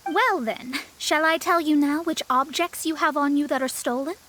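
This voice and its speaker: A woman's voice: high voice, normal speed, fairly bright tone, a little hiss or hum. A woman playing a proud, prickly young female astrologist in a video game, speaking in a lofty, formal manner. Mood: sharp, challenging. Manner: lofty, formal, self-important. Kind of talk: video game character voice acting.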